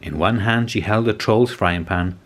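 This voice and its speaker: A man reading a children's story aloud as an expressive narrator. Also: lowish voice, normal speed. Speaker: storybook reader.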